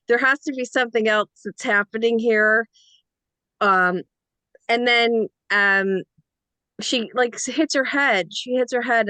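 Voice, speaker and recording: A woman talks through her reactions as a panellist in an informal, hesitant way, often dropping in "like". Her pitch is middling and the recording is dry and close, with little room sound. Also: a bit bright, no echo. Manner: hesitant, peppers speech with "like". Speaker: script reading panellist. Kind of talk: informal spoken feedback on a script.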